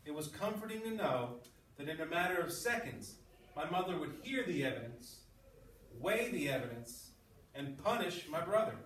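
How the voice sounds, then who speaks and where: Roomy distant recording, male schoolteacher, fairly high voice, read-aloud and explanation, frequent check-in questions. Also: big pitch swings, moderate pace, fairly bright tone. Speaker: grade six English teacher. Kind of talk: classroom read-aloud with running commentary and questions to pupils. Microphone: at some distance from the speaker. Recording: roomy, distant mic with background noise.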